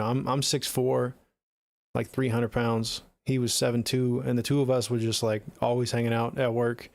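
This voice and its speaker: A man's voice: mid-range voice, vocal fry, a little mellow, no echo. A middle-aged man with an American accent chatting casually on a livestream in a laid-back, slangy way, close to the mic. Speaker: music production livestreamer. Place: small home studio room, indoors.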